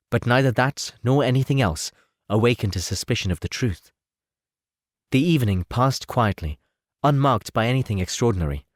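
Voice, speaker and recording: Measured male audiobook narrator, dry sound. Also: on the low side, no room sound. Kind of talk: scripted literary narration.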